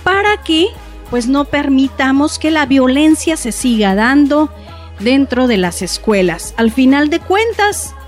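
A woman's voice: high-pitched, very animated, measured pace, faint music behind. A woman presenting advice for parents in a plain, explanatory way, dotted with filler words. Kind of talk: informational talk.

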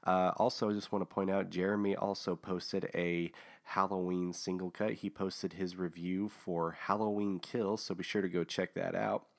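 Deep-voiced man, a little hoarse. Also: little pitch movement, moderate pace, few pauses. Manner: rambling, many fillers and restarts. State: slightly hoarse from recent illness. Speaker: host of a book review show. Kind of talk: casual video review.

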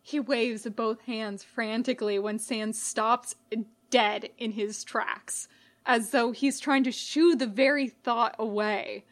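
A woman narrating scripted fiction in a measured, dramatic way with pauses. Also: on the high side, steady tone. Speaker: podfic reader.

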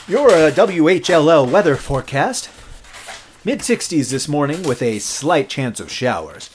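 A man's voice: on the high side, big pitch swings, unhurried, a little hiss or hum. A young man playing an eager, smitten rookie late-night radio DJ in an audio drama, with a casual, slangy manner. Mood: upbeat on-air patter.

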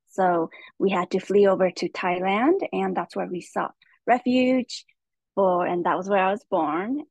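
A young woman from California telling her life story as a podcast guest, soft-spoken and hesitant with many pauses. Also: lowish voice, dry recording, low-quality recording. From Stockton, California.

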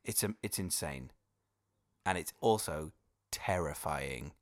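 A man's voice: a bass voice, expressive, takes it slowly. A man from the Cardiff area co-hosting a casual chat, cracking jokes and puns. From Cardiff area, Wales. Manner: joking, wordplay. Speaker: podcast co-host. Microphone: headphones on, studio mic.

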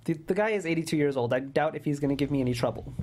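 A woman's voice: sits low, rapid-fire, a little mellow, slight background noise, close to an everyday voice. A woman playing a wry, teasing police detective partner in a table read of a TV script. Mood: firm.

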